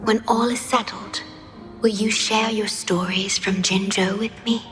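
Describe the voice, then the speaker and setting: An actress playing a serene, mysterious guardian in a video game, speaking softly and formally in a measured, gentle, devoted way. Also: female voice, medium pitch, steady tone, music in the background, natural voice. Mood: gently curious. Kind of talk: scripted video game voice acting.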